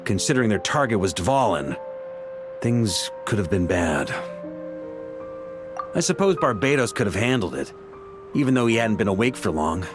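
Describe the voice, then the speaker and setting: An actor playing a relaxed, friendly knight commander who talks in a reflective way. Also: male voice, on the low side, moderate pace, long pauses. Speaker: knight back from a long expedition. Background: soft game music.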